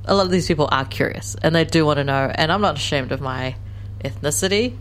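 Low-voiced New woman, animated. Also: fast, slight background noise. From New Zealand. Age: late 20s. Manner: animated, laughs often, many "like" fillers. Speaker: guest, co-creator of a series. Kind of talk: casual interview chat.

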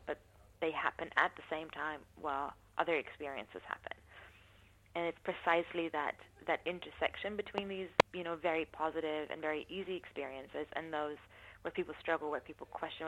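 A woman giving thoughtful, measured interview answers, often pausing to rephrase her points. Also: low-pitched, fairly bright tone, a little hiss or hum, rough recording. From not from New Zealand. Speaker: interviewee, university researcher. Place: New Zealand.

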